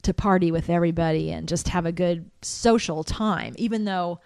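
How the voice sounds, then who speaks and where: A middle-aged American woman, a soprano, telling her story in a relaxed interview, chatty and reflective with frequent restarts. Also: fairly low pitch, moderate pace, vocal fry, clean tone, dry recording, clean studio sound. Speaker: interview guest, soprano singer.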